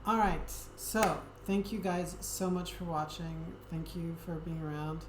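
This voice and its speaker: A woman streamer chatting casually with her viewers in a soft, friendly, hesitant way, with frequent ums. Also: lowish voice, unhurried. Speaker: Twitch streamer. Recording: echoey room with steady background noise.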